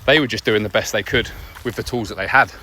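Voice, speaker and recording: A man's voice: lowish voice, bright, forceful tone. Man reflecting outdoors over background noise. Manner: earnest, conversational, pausing between short phrases. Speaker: podcast host. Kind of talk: reflective personal monologue while walking a dog. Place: outdoors on a dog walk. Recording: noisy outdoor recording with nature ambience.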